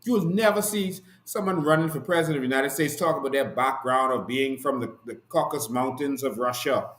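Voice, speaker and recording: A man's voice: mid-range voice, brisk, a bit bright. Impassioned Bahamian man, echoey room. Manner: passionate, rhetorical and emphatic, with repeated declarations. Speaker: host and activist. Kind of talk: impassioned political commentary.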